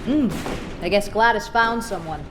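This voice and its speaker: A woman's voice: mid-range voice, normal speed, heavy background noise, lightly acted. A woman playing a cocky, trigger-happy soldier with a dry sarcastic manner in a radio drama. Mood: sardonic. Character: sarcastic, trigger-happy female soldier who loves grenades. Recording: radio drama with loud action sound effects.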